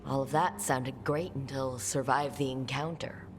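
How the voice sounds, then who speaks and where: Plainspoken heroine, low-pitched voice. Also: female voice, steady tone, unhurried, noisy background. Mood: skeptical. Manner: casual, direct, questioning. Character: plainspoken heroic woman adventurer, questioning and wry. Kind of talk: fantasy game dialogue. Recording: studio voice over game music. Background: background music.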